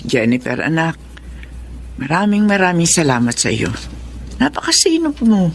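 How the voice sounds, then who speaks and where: A woman's voice: fairly low pitch, huge pitch swings, moderate pace, a little hiss or hum. An actress playing a loving, nagging middle-aged widowed mother in a Filipino radio-style drama, with a Filipino accent. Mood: grateful, tender. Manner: nagging, tender.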